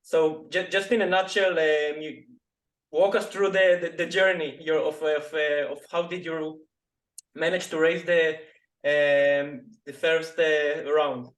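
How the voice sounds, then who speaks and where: A male podcast host asks his questions slowly, repeating words and reworking them as he goes. His voice is a bit high for a man. It comes through with a noticeable room echo. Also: fairly even intonation, fairly bright tone. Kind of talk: casual interview questions. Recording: echoey room.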